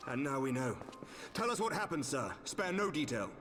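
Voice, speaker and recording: A man's voice: medium pitch, very animated, normal speed, over music, natural voice. A man playing a grave, noble knight commander in a video game, speaking with formal authority.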